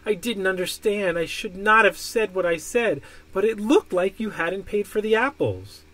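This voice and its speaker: A man's voice: high voice, expressive, moderate pace, a bit bright, voice pushed up, poor audio. A man voicing a curious young boy in a storybook, speaking earnestly and with emphasis. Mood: apologetic. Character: curious, excitable young boy, later apologetic.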